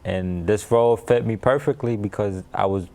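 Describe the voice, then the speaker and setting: A young actor in his twenties from El Salvador, a guest in a TV studio interview, gives short, shy answers. His voice is fairly low. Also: normal speed, creaky, mellow. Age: 20s.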